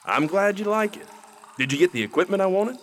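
An actor playing a casual, obliging forger among outlaws in a western radio drama. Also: male voice, high-pitched, lively intonation, brisk, a bit bright. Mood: easygoing. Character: agreeable, businesslike male outlaw forger in western.

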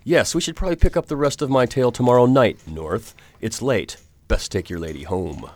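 A man playing a formal, courteous Victorian gentleman who narrates his own adventures in a radio drama. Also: mid-range voice, no echo, close to an everyday voice. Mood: composed. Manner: formal, courteous, measured old-fashioned English. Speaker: narrator and lead character.

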